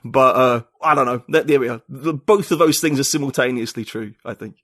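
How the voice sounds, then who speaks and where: A man's voice: medium pitch, fairly quick, fairly bright tone, dry recording, clean studio sound. A male writer and poet from the UK, a guest in a casual podcast interview, thinking aloud with many restarts and fillers.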